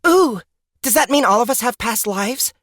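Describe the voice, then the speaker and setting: Eager geeky daughter played by a woman, brash and slangy, highly animated pitch, dry studio sound. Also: moderate pace, dry recording. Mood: excited. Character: excitable, cheeky geeky gamer daughter. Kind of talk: audiobook character acting.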